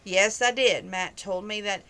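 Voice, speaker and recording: A woman's voice: medium pitch, a little slow, fairly bright tone. A woman reading aloud, voicing a kind young cowboy in a storybook. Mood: easygoing.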